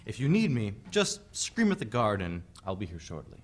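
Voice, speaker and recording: A man's voice: on the low side, huge pitch swings, normal speed. A man playing a coldly polite colonial government envoy in a dramatic play reading, formal and condescending, with hesitant phrasing. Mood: dismissive, insistent.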